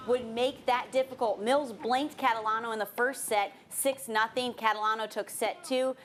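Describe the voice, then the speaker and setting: A woman's voice: fairly high pitch, steady tone, moderate pace. A woman working as a sports anchor, reading scores and match recaps in a broadcast news style. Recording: faint background noise.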